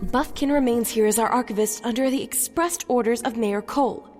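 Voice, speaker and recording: A woman's voice: a little high, fairly quick, darkish tone, faint music behind, natural voice. A woman playing a stern, overworked deputy mayor in an audio drama, firm and formal. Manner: firm, formal, clipped authority. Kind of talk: fan-made audio drama.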